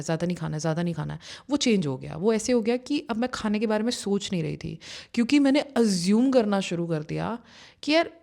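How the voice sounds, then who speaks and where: A woman's voice: mid-range voice, vocal fry, a little mellow. A young adult woman, a manifestation coach, telling her own story in a chatty way, with fillers and English phrases mixed in. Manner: conversational, mixes Hindi with English phrases, many fillers like you know. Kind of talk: personal story told to camera.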